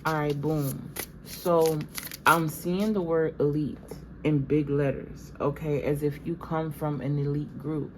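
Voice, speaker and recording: A woman's voice: low voice, fairly even intonation, measured pace, a little hiss or hum, low-quality recording. A woman doing a channeled tarot reading in a casual, meandering way, sounding a little stuffy. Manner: conversational, meandering, addresses viewers as "y'all". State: stuffy from allergies. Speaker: tarot reader.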